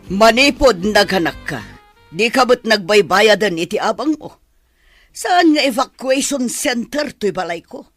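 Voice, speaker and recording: A woman's voice: a little high, expressive, measured pace, no echo, faint music behind. A woman with an Ilocano accent playing a stern, scolding older mother-in-law in a radio-style drama. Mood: scornful.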